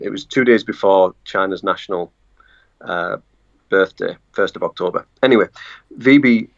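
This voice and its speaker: A man with a northeast English accent speaks as a journalist guest, his voice low and gravelly. He talks haltingly, with frequent pauses and restarts. The remote call audio sounds dull and lo-fi. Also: a bit bright. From northeast England. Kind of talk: casual remote interview.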